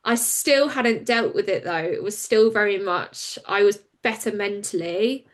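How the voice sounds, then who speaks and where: A woman, a campaigner and interview guest, speaking frankly and openly in a relaxed conversation. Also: mid-range voice. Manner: open and frank, quick and animated when recounting.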